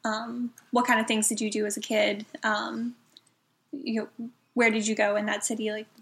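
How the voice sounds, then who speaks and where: A woman's voice: fairly high pitch, little pitch movement, moderate pace, gravelly. A young woman student interviewing someone for a class, asking hesitant questions with many um and like fillers. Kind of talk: oral history interview.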